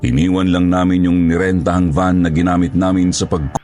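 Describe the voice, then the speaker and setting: A man's voice: sits low, little pitch movement, brisk, a little mellow, soft background music, lightly acted. A man playing an ex-robber who narrates his own horror story in a scripted first-person style. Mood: grave, reflective. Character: male ex-holdupper recounting his kidnapping horror story.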